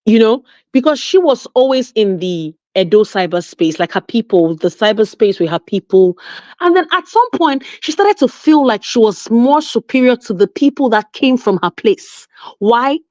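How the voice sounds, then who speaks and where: Nigerian woman, expressive, emphatic commentator; lo-fi, dry recording. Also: a little high, moderate pace, no echo. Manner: animated, emphatic storytelling with frequent restarts. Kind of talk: casual online chat. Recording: dull lo-fi audio.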